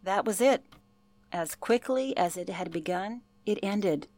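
An actress playing a former telephone operator who recalls past events in a reflective, earnest interview style. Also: female voice, mid-range voice, measured pace, darkish tone, dry recording, natural voice. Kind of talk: interview-style narration in radio play.

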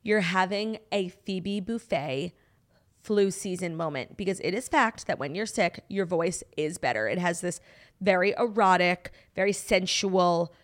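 A woman's voice: on the low side, a little slow. Chatty young New York woman, casual podcast co-host. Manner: animated, swearing, heavy use of "like". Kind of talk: casual chat.

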